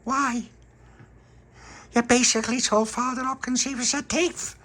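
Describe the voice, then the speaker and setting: A man's voice: extremely high pitch, steady tone, unhurried, sharp tone, pitched above the performer's own voice, heavily acted. A man voicing a fussy, easily embarrassed young woman who scolds. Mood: fretful. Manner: scolding, fretful.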